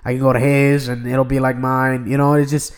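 Young male podcast co-host, earnest, rambles and restarts his sentences, mid-pitched voice. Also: flat delivery, a little fast, clear, resonant tone. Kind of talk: casual podcast chat.

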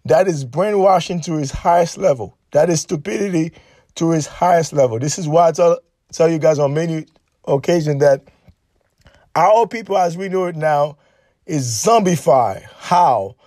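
A man's voice: on the high side, a little mellow, no room sound, studio quality. A man giving an impassioned, preachy political monologue, emphatic and repetitive, who grew up in Haiti. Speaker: online commentator.

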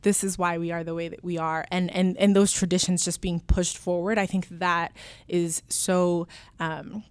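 A woman's voice: on the low side, flat delivery, normal speed, smooth, no room sound. A woman in her twenties from Alaska, first language Spanish, telling her story as an interview guest in an earnest way with many fillers and restarts. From Alaska, USA. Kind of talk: reflective personal storytelling.

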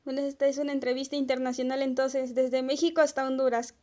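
High-voiced woman interview host. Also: flat delivery, fast talker, darkish tone. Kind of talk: scripted interview questions.

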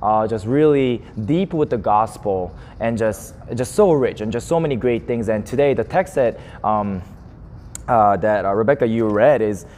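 A young guest preacher, a man in his twenties from South Korea and Japan, talks in an easy, joking way with plenty of fillers. His medium-pitched voice rings through a large church with a long reverb. A faint hiss sits underneath. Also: normal speed, darkish tone. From born in South Korea, grew up in Japan. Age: 20s. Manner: friendly, self-deprecating, laughs often, many fillers. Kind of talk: casual, chatty sermon introduction. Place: church sanctuary.

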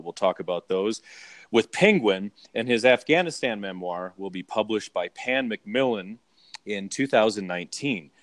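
Eager podcast guy rambling at home, mid pitch, dry sound. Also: no echo. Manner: chatty, enthusiastic, rambling. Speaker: podcast host. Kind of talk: casual interview chat. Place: home in the mountains.

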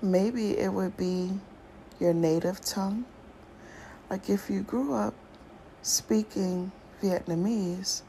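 A woman's voice: medium pitch, creaky, warm tone, slight background noise, low-quality recording. A woman hosting a casual solo podcast, talking in a loose, musing way with slang and thinking-aloud restarts. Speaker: podcast host.

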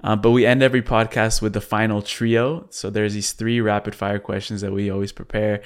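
A man with a fairly low, resonant voice hosts a podcast interview. He speaks conversationally, sounding earnest and appreciative, with a very clean tone. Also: flat delivery, fairly quick, clean tone. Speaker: podcast host.

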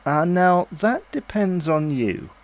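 Lively husband, gently persuasive played by a man. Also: high voice, takes it slowly, exaggerated voice. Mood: coaxing. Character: Harry, patient, reasonable husband and new father, coaxing. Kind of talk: naturalistic domestic audio drama acting.

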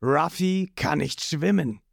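A man voicing a gruff older male head chef who speaks in a calm, dry, knowing way. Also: a little high, slow, no room sound, full character voice.

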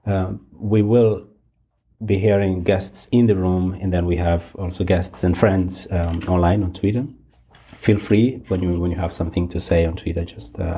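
A man hosting and moderating a panel discussion, speaking haltingly with many restarts. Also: deep voice, mellow, slight background noise. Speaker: host and moderator.

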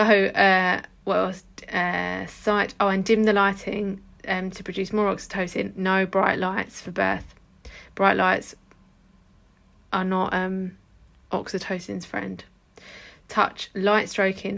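A woman in her thirties with a croaky voice, hosting a casual solo podcast as a hypnobirthing teacher in a chatty, informal way. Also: medium pitch, a bit bright.

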